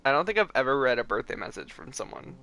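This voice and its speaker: A man's voice: mid-range voice, very animated, fast, creaky. A man giving casual, informal livestream commentary on a game, musing aloud as he plays. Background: game music.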